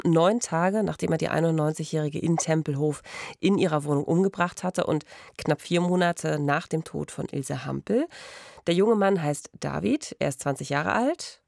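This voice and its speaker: A woman in her thirties co-hosting a true-crime podcast in a studio, expressive and conversational. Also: low-pitched, vocal fry, no echo. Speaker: podcast co-host. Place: radio studio. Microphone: studio microphone on boom arm. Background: background music.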